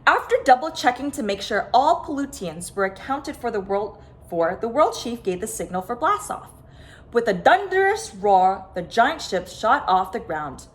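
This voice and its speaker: Woman doing story time for kids, expressive and dramatic, highish voice that goes up and down a lot. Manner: dramatic, stressed sound words and phrases. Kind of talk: expressive children's picture book read-aloud.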